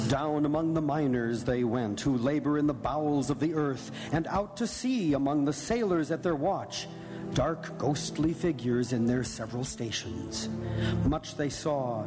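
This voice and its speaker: A man giving measured, theatrical narration of a story for a live radio drama. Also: on the high side. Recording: echoey theater hall, music behind.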